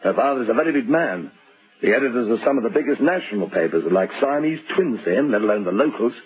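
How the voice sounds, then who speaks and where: An actor playing a blunt, insistent police inspector in an old radio crime drama, with a brusque and impatient manner. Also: male voice, mid-range voice, lively intonation, fast, faint music behind, lightly acted. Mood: insistent, exasperated. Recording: muffled old radio recording.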